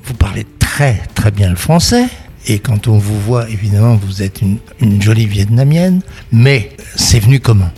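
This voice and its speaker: A man's voice: fairly low pitch, lively intonation, normal speed, mellow. A man hosting a radio interview, asking questions in a chatty, conversational way. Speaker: radio host.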